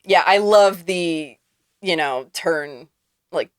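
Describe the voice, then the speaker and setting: A woman's voice: on the low side, slow, dry recording, studio quality. A woman podcast host talking casually and effusively about film with friends, swearing freely.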